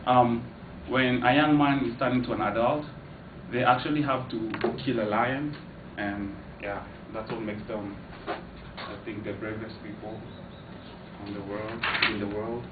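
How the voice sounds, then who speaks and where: A man's voice: mid-range voice, steady tone, measured pace. A man from Kenya giving an informative class speech, hesitant, with many fillers and restarts. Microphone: far camera. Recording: echoey, distant, tinny, noisy.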